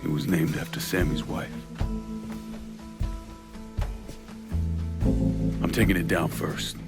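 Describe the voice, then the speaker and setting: A man's voice: sits low, fairly even intonation, brisk. An actor playing a grim, determined male vigilante in a game drama, with a terse, blunt delivery. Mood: businesslike, determined. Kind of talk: video game cutscene drama acting. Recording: loud guitar music behind the voice.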